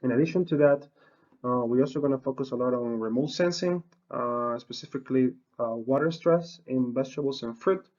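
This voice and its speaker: A man's voice: mid-range voice, brisk, darkish tone, dull audio. A middle-aged man from the Republic, giving an extension webinar talk and filling his sentences with uh and um and restarts. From Dominican Republic. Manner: lots of uh and um, frequent restarts. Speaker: university horticulture researcher.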